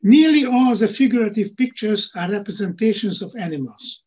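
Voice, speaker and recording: A man's voice: sits high, animated, normal speed, darkish tone, slightly rough. An older man giving a lecture from home over a video call, reading his slides and adding plain asides. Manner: reads slide text, adds plain asides. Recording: muffled lo-fi video call audio.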